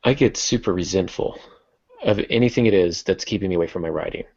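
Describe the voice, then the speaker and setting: A man's voice: low voice, fast talker, gravelly, darkish tone, breathy edge. A man hosting a casual podcast discussion about writing, recorded with a dull, roomy, lo-fi sound. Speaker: podcast host.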